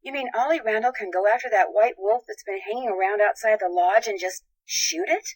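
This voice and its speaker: Woman doing a young girl detective in a video game, medium pitch, polite, earnest, asks careful questions. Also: lively intonation, fairly quick, lightly acted. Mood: inquisitive, earnest. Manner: earnest, probing, articulate. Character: polite, inquisitive, composed young female amateur detective.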